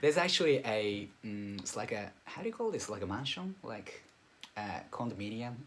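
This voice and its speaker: Man chatting in halting English. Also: lowish voice, big pitch swings, crowd noise. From Japan. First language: Japanese. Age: 30s. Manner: hesitant, many filler words. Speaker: English learner. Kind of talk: casual online lesson chat. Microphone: video call.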